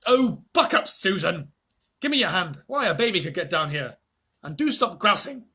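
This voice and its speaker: A man's voice: a little high, expressive, moderate pace, fairly bright tone. Peter, a man doing a hearty young king. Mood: urging. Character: bold hearty teenage boy, a young king.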